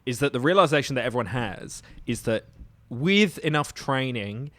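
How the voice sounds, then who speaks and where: A man's voice: mid-range voice, lively intonation, normal speed, a bit bright. A man guesting on a podcast, riffing sardonically in a loose, sweary, comedic conversational style. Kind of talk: casual comedic chat.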